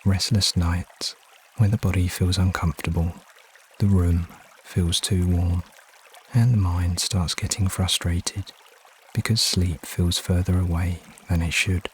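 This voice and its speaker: Low-pitched man guiding a meditation. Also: a little hiss or hum. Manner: unhurried, soothing instruction with frequent pauses. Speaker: meditation guide. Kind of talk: guided meditation narration. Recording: steady water ambience behind voice. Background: trickling stream water sounds.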